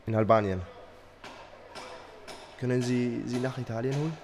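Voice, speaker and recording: A male actor playing a naive, earnest fifteen-year-old Albanian shepherd boy with simple, halting speech in a German radio drama. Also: medium pitch, darkish tone, slight background noise, close to an everyday voice. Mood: shy, hesitant.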